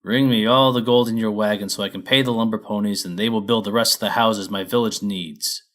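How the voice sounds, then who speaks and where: A man's voice: on the low side, fairly even intonation, a little fast, fairly bright tone, lightly acted. A lazy male dragon from a children's storybook read by a man. He talks plainly and looks after his own interests. His delivery is matter-of-fact.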